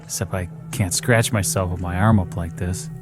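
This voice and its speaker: Radio drama wounded private, male actor: fairly low, friendly, modest, respectful, over underscore. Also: steady tone, moderate pace, close to an everyday voice. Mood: friendly, relaxed. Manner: modest, deferential. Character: easygoing, respectful wounded young soldier. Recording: dramatic music behind the voice.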